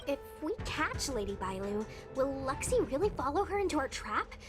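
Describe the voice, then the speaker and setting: A woman's voice: sits high, big pitch swings, moderate pace. A woman playing an inquisitive young companion in a game cutscene. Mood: curious. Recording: voice over game music.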